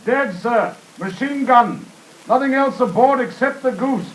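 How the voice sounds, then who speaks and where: An actor playing an alert sailor who calls out terse reports in a 1950s radio drama. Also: male voice, extremely high pitch, a little slow. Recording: noisy 1950s radio recording.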